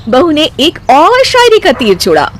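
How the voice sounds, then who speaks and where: A woman's voice: high-pitched, wildly swooping pitch, a little slow, a little hiss or hum. A woman narrator reading a scripted domestic comic story.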